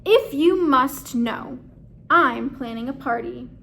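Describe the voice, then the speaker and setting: A woman's voice: high-pitched, takes it slowly, creaky, fairly bright tone, exaggerated voice. A woman voicing an exasperated, put-upon male alligator from a children's picture book, grumbling with emphasis. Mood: testy.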